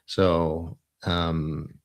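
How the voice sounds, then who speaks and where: A man with a deep voice hosts an interview podcast. He asks curious follow-up questions and adds affirming murmurs. His tone stays conversational. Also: level tone, drawn-out words. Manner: curious, affirming, occasional laughs.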